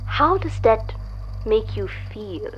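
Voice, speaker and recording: Cryptic, self-assured theorist, portentous and deliberate woman acting, audio drama; dull lo-fi sound with music underneath. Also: a little high, animated. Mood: probing.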